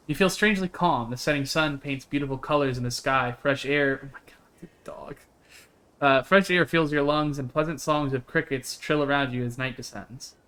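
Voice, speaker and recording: A man's voice: medium pitch, normal speed, sharp tone. A man reading a visual novel aloud on a live stream, dropping into casual, sweary asides. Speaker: game streamer. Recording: music behind the voice.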